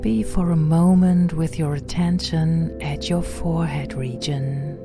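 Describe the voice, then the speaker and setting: A woman guiding a meditation in a gentle, soothing, unhurried manner, softly spoken and at times breathy. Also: low voice, steady tone. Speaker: meditation guide and podcast host. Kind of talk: guided meditation narration. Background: background music.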